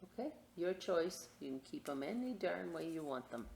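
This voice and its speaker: A woman hosting a children's story time and craft demonstration, chatting to young listeners in a playful, expressive, informal way with asides. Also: lowish voice, normal speed, vocal fry, a little hiss or hum. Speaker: library story time host reading picture books and showing a craft.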